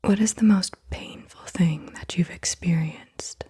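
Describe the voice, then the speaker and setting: Breathy whispering ASMR woman. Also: fairly low pitch, mellow. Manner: slow, deliberate, short phrases with pauses. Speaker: ASMR host. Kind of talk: soft-spoken whispered ASMR, guided questions.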